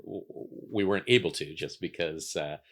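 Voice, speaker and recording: A man's voice: deep voice, normal speed, a bit bright. A man from Canada whose first language was an Italian dialect, speaking reflectively as an interview guest, with many fillers and restarts. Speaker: guest, architect. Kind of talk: relaxed conversational interview.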